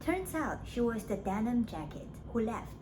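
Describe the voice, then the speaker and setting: A woman's voice: on the high side, big pitch swings, normal speed. A woman narrating a scripted summary of a story, as a book reviewer does. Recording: clearly noisy background.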